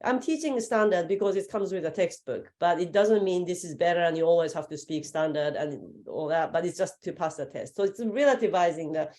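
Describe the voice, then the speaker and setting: A woman's voice: on the low side, a little fast, hardly any pauses, warm tone. A woman professor answering a question on a panel talk, explaining her thoughts carefully. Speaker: guest professor.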